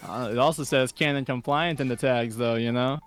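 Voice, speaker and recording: A man's voice: mid-range voice, steady tone, brisk, fairly bright tone, smooth. A man co-hosting a story reading show, moving between casual chat and narration. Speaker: story reader and co-host. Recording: music playing underneath. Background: music.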